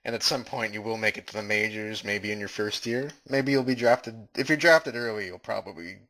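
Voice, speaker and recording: A man's voice: fairly low pitch, brisk, fairly bright tone. A man acting as an interviewer in a comedy skit, asking earnest, formal questions. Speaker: mock interviewer. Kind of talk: improvised mock interview.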